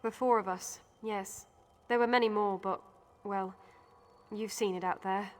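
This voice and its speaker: A woman's voice: mid-range voice, steady tone, a little slow, close to an everyday voice. A woman voicing an earnest, war-weary survivor in a video game, speaking with open emotion. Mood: weary, grieving. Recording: long hall reverb.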